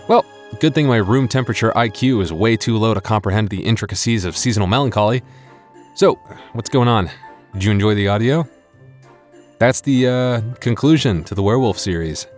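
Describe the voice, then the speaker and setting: A man hosting his audio channel, chatting casually to listeners with wry, self-deprecating humor. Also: fairly low pitch. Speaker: ASMR audio creator and channel host. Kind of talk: casual rambling outro chat to listeners. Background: music in the background.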